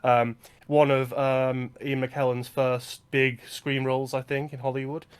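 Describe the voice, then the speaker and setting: A man's voice: mid-range voice, little pitch movement, a little slow, clear, resonant tone. A man co-hosting a film podcast, talking with enthusiasm and stammering on his restarts. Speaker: podcast co-host. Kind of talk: casual film discussion.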